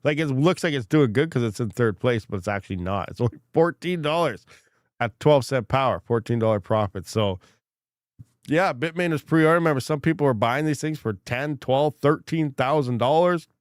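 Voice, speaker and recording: Congested male streamer, frank and rambling, smooth clear tone, close dry mic. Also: mid-range voice, animated, moderate pace, dry recording. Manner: rambling, frank, many fillers and restarts. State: congested, recovering from lost voice. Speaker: crypto mining livestream host. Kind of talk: casual livestream chat reading comments.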